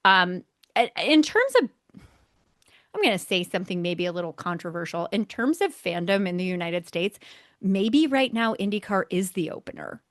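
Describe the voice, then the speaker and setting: Female podcast co-host, mid-pitched, chatty and opinionated, dry close mic. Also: expressive, normal speed, dry recording. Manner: chatty and opinionated, hedges with restarts. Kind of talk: casual racing chat.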